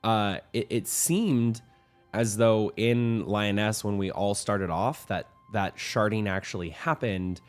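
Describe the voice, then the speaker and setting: Upbeat gamer man, a little hoarse. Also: fairly low pitch, fairly even intonation, slow pace, soft background music. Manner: upbeat, rambling, with frequent restarts and fillers. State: slightly hoarse from a cold. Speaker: host of a weekly game news show. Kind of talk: casual game news commentary.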